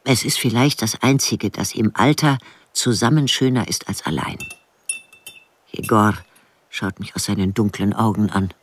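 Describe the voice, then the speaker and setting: An actress playing an elderly village widow in a radio drama, plainspoken and stubborn, with a dry, blunt manner. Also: female voice, rumbling low, normal speed. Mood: matter-of-fact, dry. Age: older. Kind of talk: radio drama narration and dialogue.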